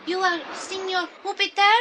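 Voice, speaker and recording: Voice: very high voice, level tone, normal speed, sharp tone, a little hiss or hum. An actor playing an earnest, polite young boy with a Spanish accent and broken English in an audio play. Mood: earnest, eager. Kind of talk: 1980s audio play acting.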